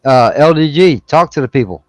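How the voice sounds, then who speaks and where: A man's voice: fairly high pitch, lively intonation, fairly quick, no room sound. A man hosting a casual live stream, slightly tipsy, talking loosely and cursing freely as he wraps up. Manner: loose and profane, rambling sign-off. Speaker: stream host.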